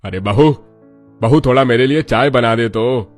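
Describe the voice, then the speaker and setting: A man's voice: mid-range voice, measured pace, soft background music, close to an everyday voice. A man voicing a bewildered older father-in-law in a family cartoon, questioning and put out. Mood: requesting.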